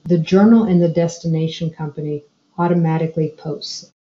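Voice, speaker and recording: A woman explaining software step by step as a trainer narrating a screencast tutorial. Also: deep voice, fairly even intonation, brisk. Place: indoor room, screen recording voice-over. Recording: dull, roomy sound.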